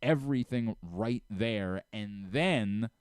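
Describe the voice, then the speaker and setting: A man's voice: on the low side, takes it slowly, clear, resonant tone, dry recording, clean studio sound. A man hosting a radio talk show, chatting casually and emphatically, acting out his asides and reading sponsor spots conversationally. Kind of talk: animated casual chat and live ad reads. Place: radio studio.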